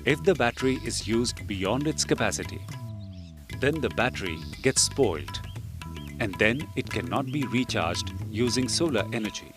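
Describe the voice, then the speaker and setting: Guy narrating a training film, teacherly and measured, pitch moves around a lot, bit of music behind. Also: animated. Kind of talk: scripted instructional narration. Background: background music.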